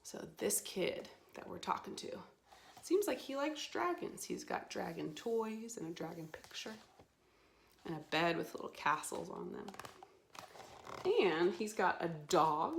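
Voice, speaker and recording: A woman hosting storytime for young children, speaking in a playful, expressive, simple way as she guides and reads aloud. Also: mid-range voice, measured pace, vocal fry, pauses often, echoey room, slight background noise.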